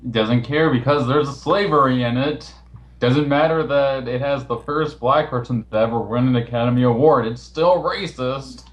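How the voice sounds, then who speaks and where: A man's voice: mid-range voice, normal speed, low-quality recording. A man with a mid-range voice hosts a casual voice chat. He speaks informally and in a matter-of-fact way, as in everyday banter on a call. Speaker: host of the recorded chat. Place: online voice call.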